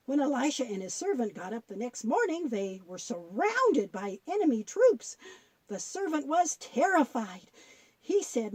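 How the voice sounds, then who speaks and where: A woman's voice: high-pitched, warm tone, dry recording. A woman playing a kindly, folksy grandmother who patiently tells Bible stories in a children's audio drama.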